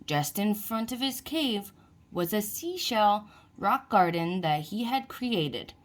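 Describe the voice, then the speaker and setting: A woman narrates a picture book for young listeners. Her pace is slow and deliberate, and her pitch moves in a lively, expressive way. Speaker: children's book reader. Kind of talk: read-aloud storybook narration for children.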